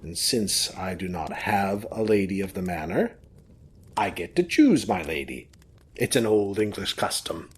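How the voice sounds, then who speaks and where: An actor playing a courteous, warm-hearted gentleman host of an old manor in an audio drama. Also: low-pitched, big pitch swings, unhurried, husky, heavily acted. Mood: gracious, sincere.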